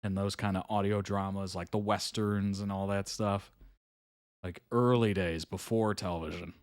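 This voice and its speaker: A man hosting a live reaction stream, chatting casually, reading chat messages aloud, with frequent ums and soft chuckles. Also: on the low side, steady tone, normal speed, dry recording, clean studio sound. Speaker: host reacting to videos live.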